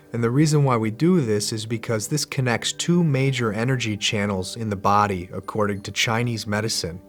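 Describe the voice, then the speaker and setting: A middle-aged man guiding a meditation class with slow, gentle, repetitive instructions. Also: mid-range voice. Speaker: meditation teacher.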